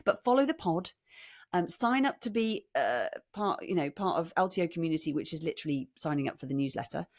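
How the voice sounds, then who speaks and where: A middle-aged British woman with a slightly husky voice, chatting casually and jokily as a rambling podcast co-host. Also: low voice, lively intonation, fairly quick. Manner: jokey, rambling. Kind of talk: casual chat with thank-yous.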